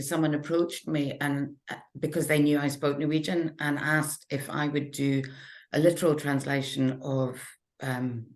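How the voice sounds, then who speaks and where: A female translator telling her career story on a panel, brisk and matter-of-fact. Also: low-pitched, gravelly. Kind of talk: panel discussion answer.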